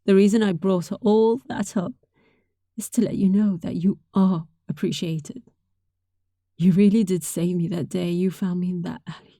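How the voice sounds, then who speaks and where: Woman acting a very soft, mellow, breathy catgirl. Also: lowish voice, steady tone, moderate pace, dry recording, studio quality. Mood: tender, heartfelt. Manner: tender, breathy, often dropping toward a whisper. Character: sweet, affectionate young catgirl roommate, grateful and cuddly. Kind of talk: intimate roleplay audio, softly acted monologue.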